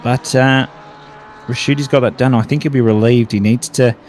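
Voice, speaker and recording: Man, Australian accent, sim racing commentator, steady mid pitch, faint background hum. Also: normal speed. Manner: running through gaps between cars, lifting with the action. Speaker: race commentator.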